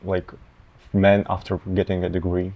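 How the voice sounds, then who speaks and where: A young man in his twenties with a Russian accent, a medical student answering interview questions haltingly, often pausing to find words. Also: deep voice, completely flat delivery, highs cut off. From Tatarstan, Russia. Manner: halting, searching for words, many fillers.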